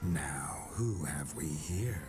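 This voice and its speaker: Casting: a slow, low-voiced ghost-possessed seducer, wry and smug, with game music behind. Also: male voice, expressive, full character voice. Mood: flirting. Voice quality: deep and low, often near a whisper. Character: man possessed by a noble ghost, gruff, flirtatious, smug. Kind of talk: video game cutscene voice acting.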